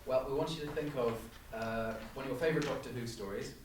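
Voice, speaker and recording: A man's voice: medium pitch, quick pace. Male audition panellist, very echoey and noisy. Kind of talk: informal spoken instructions. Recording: very echoey room, distant mic, noisy.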